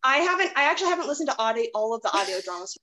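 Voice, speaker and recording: A woman in a casual podcast chat, talking in an animated, chatty way. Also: sits high, racing. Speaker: podcast participant discussing radio dramas.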